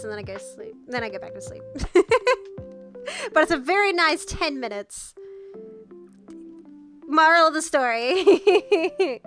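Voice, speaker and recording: A young Australian woman chatting casually on a stream while sick with the flu, her voice nasal and congested. She rambles, swears and giggles often. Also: sits high. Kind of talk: casual chat reading viewer messages. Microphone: VR headset mic. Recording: streamed with background music. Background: music playing.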